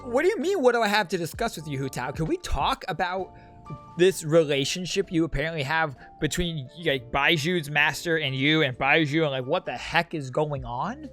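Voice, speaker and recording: Brief: woman streamer, casual gameplay commentary, sarcastic quips, deep for a woman. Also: lively intonation, moderate pace, fairly bright tone. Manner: blunt, wisecracking, thinking aloud. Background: game audio and music.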